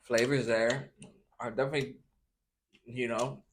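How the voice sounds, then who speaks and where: A man's voice: medium pitch, flat delivery, unhurried, a little hiss or hum. A man hosting a casual interview, asking his questions in an informal, loosely worded way. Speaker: interviewer, host. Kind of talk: casual food-tasting interview.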